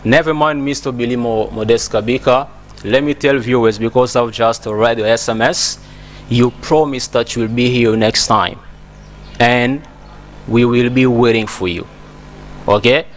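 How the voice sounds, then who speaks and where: A man's voice: mid-range voice, steady tone, measured pace, slight background noise. A man from Congo, hosting a TV English lesson in an emphatic, encouraging way that repeats words. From Democratic Republic of Congo. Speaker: English teacher and TV host. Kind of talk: spoken English lesson to TV viewers.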